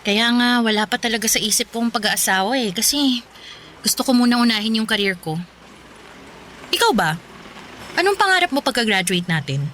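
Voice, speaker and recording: A woman's voice: a little high, animated, a little fast, long pauses, a bit bright, a little hiss or hum. A woman playing a playful, ambitious college girlfriend in a radio drama, speaking conversationally with a Filipino accent. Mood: reassuring, determined.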